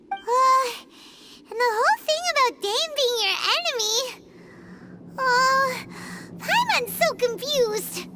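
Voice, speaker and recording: A woman voicing a tiny, childlike fairy companion in a video game, excitable and naive, with exaggerated delivery. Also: heavy background noise, heavily acted. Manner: exaggerated, squeaky, refers to herself by name. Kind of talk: cartoonish video game voice acting. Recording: loud music behind voice.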